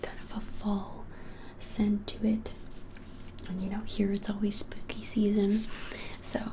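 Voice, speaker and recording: A woman in her twenties whispering softly and gently in an unhurried ASMR shop roleplay. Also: mid-range voice, background noise. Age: 20s. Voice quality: soft, breathy whisper. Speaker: ASMR creator playing a witch shop keeper. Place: small indoor room, plain wall.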